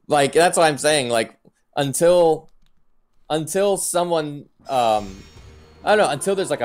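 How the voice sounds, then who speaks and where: A middle-aged man hosting a live stream, chatting casually and giving his opinions as he answers viewer comments. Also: medium pitch. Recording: music playing behind the voice. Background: background music.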